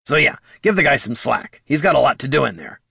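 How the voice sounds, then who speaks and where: Scrappy little kobold acted by a man: raised pitch, colloquial and prickly, fantasy audiobook character. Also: lively intonation, a little fast, sharp tone, dry recording, studio quality, heavily acted. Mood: defensive. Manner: colloquial, gruff, growling when riled. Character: scrappy small male kobold, plain-spoken, proud, prickly.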